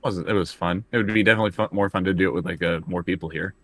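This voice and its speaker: Deep-voiced man, casual game player. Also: fairly even intonation, very fast, fairly bright tone, no echo. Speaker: player in a tabletop role-playing game. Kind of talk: casual chat at the game table.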